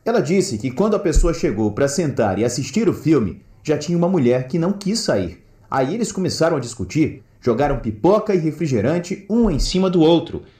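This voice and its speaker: A man's voice: a little high, big pitch swings, a little fast, few pauses, dark tone. A Brazilian man retelling an anecdote in casual, conversational speech. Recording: roomy, echoing sound.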